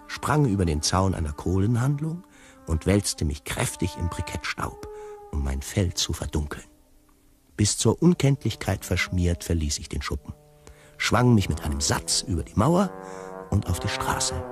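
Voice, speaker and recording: An actor playing a lonely fugitive tiger who narrates his own story in a radio play in a measured, literary way. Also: sits low, a little mellow. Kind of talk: scripted first-person radio play narration.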